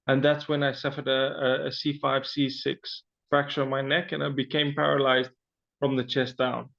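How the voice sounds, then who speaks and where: A man's voice: medium pitch, flat delivery, normal speed, vocal fry, fairly bright tone, dull audio. A man from Zimbabwe, an interview guest, telling his personal story with frequent fillers and restarts.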